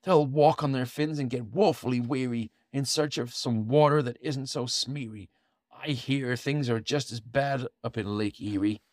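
A man's voice: a little high, animated, unhurried, no room sound, studio quality, voice pushed up. A man voicing a small, cranky male forest creature who scolds in rhyme, read from a storybook. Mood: indignant. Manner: scolding, indignant rhyming speech. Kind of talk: storybook reading aloud.

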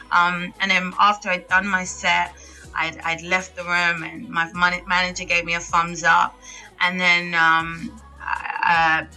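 A woman's voice: fairly low pitch, sharp tone, low-quality recording. A young woman, a singer chatting casually as an interview guest, with lots of fillers and laughs. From England. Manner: chatty, laughs often, many fillers and restarts.

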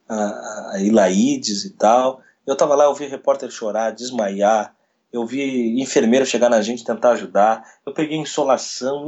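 A man, a radio sports journalist telling a story in an interview, retelling events quickly with quoted dialogue. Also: medium pitch, a little mellow. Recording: dull lo-fi recording.

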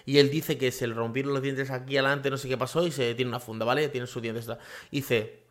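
A man hosting a solo podcast from his home office. His voice sits at an ordinary middle pitch. He talks loosely and casually, jumping between thoughts with fillers and frequent tag questions. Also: steady tone, fairly quick. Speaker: podcast host.

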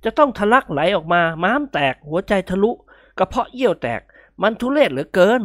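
A woman's voice: mid-range voice, sing-song, brisk, no echo, clean studio sound, pitched above the performer's own voice. A woman voicing a droll young man who drawls his gloomy jokes in a storybook reading. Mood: drawling.

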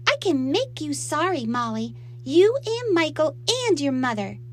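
A fierce little girl in a storybook. Her voice is pitched far up, shrill and emotional. She is spiteful and tearful, quick to threaten. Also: very animated. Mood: menacing. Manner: screaming, sobbing, threatening.

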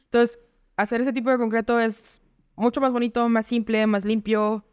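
A woman's voice: a little high, level tone, moderate pace, clean tone, dry recording, clean studio sound. A woman with a Mexican accent talking informally and candidly as a guest in a casual interview. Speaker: guest, entrepreneur.

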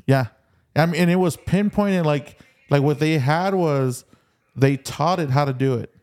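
Clear-voiced man, fairly high, explaining casually. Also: unhurried, a little mellow. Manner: explanatory, restating points for emphasis. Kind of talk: casual chat.

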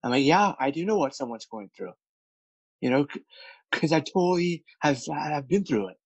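A man's voice: fairly high pitch, animated, normal speed, darkish tone, breathy edge, no room sound, dull audio. A man who stutters, with repeated words and many fillers, speaking candidly as a guest about his work as a speech coach. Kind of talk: candid interview conversation.

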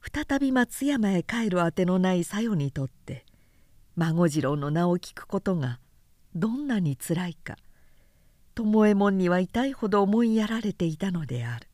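A woman reading a literary story aloud as a narrator, in a measured and deliberate way. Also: on the low side, expressive, warm tone, no echo, studio quality.

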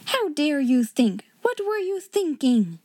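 A strict, haughty schoolmistress played by a woman storyteller issues indignant demands in a high, slightly husky voice. She is imperious and easily outraged, as in a children's storybook reading, with some room echo. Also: expressive, moderate pace, mellow. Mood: outraged, demanding.

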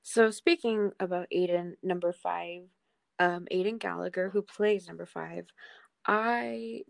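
A woman's voice: fairly low pitch, fairly even intonation, a little slow, creaky, dry recording. A woman co-hosting a podcast in casual, slangy chat about a TV series. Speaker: podcast co-host.

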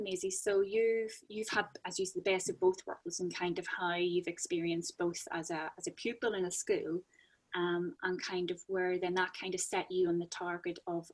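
A woman's voice: on the low side, slightly rough, low-quality recording. A woman lecturer hosting a casual podcast chat with students, often repeating words as she thinks.

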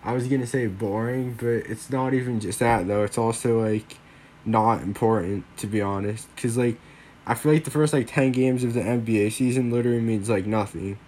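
A man's voice: mid-range voice, moderate pace, a little mellow, slight background noise. A young man hosting a solo sports podcast, rambling casually with lots of fillers, a little worn from a lingering cold. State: getting over a cold.

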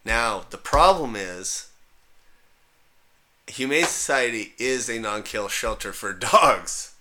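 A male podcast co-host with a bright, forward voice at a middling pitch. He rambles through anecdotes in a blunt, salty, joking way. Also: animated, slow pace, a little hiss or hum. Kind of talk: casual comic banter and rambling anecdotes.

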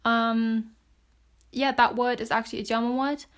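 A woman with a British accent hosting a casual solo podcast, chatting in a rambling way with many fillers and soft laughs. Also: a little high, little pitch movement, normal speed. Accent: British English. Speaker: podcast host.

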